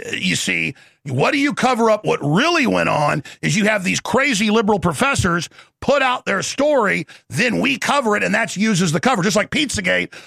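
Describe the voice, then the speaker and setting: A man with a high, gravelly voice races through a long, intense rant. His tone is sharp and forceful, and the sound is close and dry, with no room around it. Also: expressive, no room sound. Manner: intense, rapid, rambling monologue. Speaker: show host. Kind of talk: ranting interview talk.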